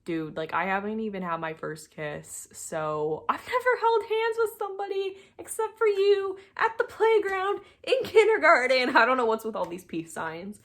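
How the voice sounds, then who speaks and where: A woman's voice: high-pitched, lively intonation, moderate pace, hardly any pauses. Young woman telling a casual story. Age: young adult. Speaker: storytime video creator.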